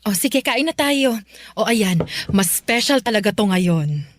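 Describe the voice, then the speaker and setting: A woman playing a teasing, lively mother. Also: mid-range voice, unhurried, no echo, lightly acted. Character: cheerful teasing mother of bride, middle-aged. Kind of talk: radio drama acting.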